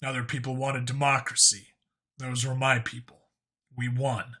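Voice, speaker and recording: A plainspoken, curious human cop from a sci-fi audiobook, played by a man. He talks casually and bluntly, a bit hesitant at times, in a middle-pitched, bright voice. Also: level tone, normal speed, breathy edge. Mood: reflective. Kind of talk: audiobook character acting.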